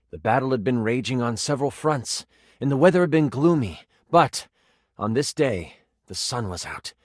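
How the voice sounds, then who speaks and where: Animated, theatrical male actor as a game master. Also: mid-range voice, dry recording, clean studio sound, close to an everyday voice. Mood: wistful. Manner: theatrical, second-person storytelling. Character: animated male dice game master narrator, playful storyteller. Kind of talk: dramatic scripted tabletop fantasy narration.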